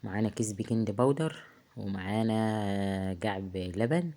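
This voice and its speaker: A woman with an Egyptian accent, hoarse from a heavy cold, chatting casually through a cooking tutorial in her home kitchen, halting often with drawn-out filler sounds. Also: very deep voice, dark tone. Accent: Egyptian Arabic. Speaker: home cook vlogger. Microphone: phone.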